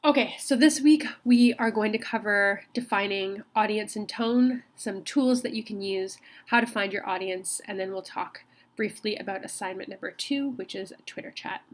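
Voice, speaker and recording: A woman with a slight cold walks her students through a recorded college lecture. She talks in a friendly, conversational way, often pausing on ums and starting her sentences over. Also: medium pitch. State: has a cold. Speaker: college instructor.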